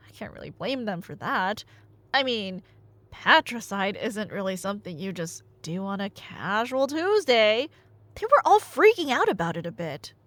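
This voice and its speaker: A woman playing a nerdy girlfriend in an ASMR roleplay, telling Greek myths conversationally with playful asides and dramatic pauses. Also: medium pitch, very animated, fairly bright tone, dry recording. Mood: dramatic storytelling.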